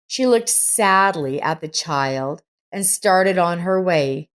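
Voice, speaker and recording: A woman reading a scripted story in a measured storytelling manner. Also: fairly low pitch, expressive. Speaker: story narrator. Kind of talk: scripted audiobook-style narration.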